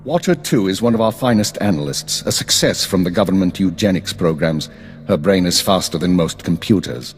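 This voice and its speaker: An actor playing a stern, authoritative Imperial intelligence chief in a video game, speaking in a measured, formal, commanding way. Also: male voice, sits low, lively intonation, faint music behind. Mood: proud, formal. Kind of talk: scripted video game dialogue.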